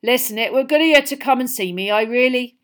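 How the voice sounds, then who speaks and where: A woman voicing a big, gruff, drunken gamekeeper in an audiobook, miserable and hot-tempered, with thick, growling, rustic speech. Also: on the high side, fast, pitched above the performer's own voice, natural voice. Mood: grateful. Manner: rustic, colloquial, emphatic speech.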